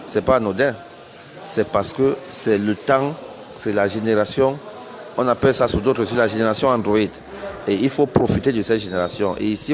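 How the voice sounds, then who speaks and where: Earnest Cameroonian businessman, slightly low voice. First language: Bassa. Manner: earnest, persuasive, with frequent hesitations and restarts. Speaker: business founder giving a press statement. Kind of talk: spontaneous spoken statement to reporters.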